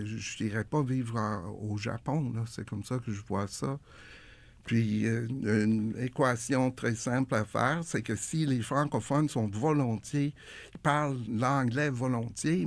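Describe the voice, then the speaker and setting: A man with a gravelly, creaky voice answers interview questions firmly, tripping over repeated words as he goes. His voice is dry and close, with no room sound. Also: mid-range voice, no echo. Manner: firm, stammers on restarts. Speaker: interview guest.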